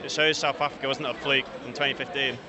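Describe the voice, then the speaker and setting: A man chats easily, with dry, self-deprecating humor. His voice has a middling pitch. A loud crowd surrounds him outdoors. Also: flat delivery, fast talker, muffled recording. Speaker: rugby fan interviewed. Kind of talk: casual chat.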